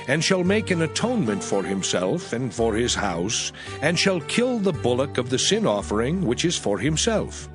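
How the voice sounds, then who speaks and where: A male narrator reads scripture formally and with measured care. His mid-pitched voice moves expressively up and down, and music plays clearly behind him.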